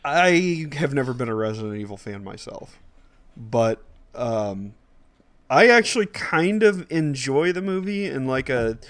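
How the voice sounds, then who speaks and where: A man's voice: medium pitch, lively intonation, measured pace, fairly bright tone. A man co-hosting a casual podcast, conversational and thinking aloud as he goes. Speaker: podcast co-host.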